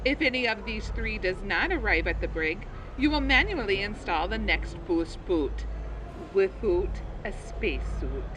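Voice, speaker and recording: A woman's voice: mid-range voice, very animated, unhurried, noisy background. A woman playing a haughty, bullying military officer in a sci-fi comedy audio drama, imperious and barking, often ending phrases with "eh". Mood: barking orders.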